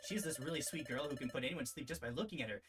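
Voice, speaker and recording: A man's voice: medium pitch, very fast, music in the background, close to an everyday voice. A man voicing a cheerful, boastful young female character who chatters eagerly while reading a visual novel aloud. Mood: excited.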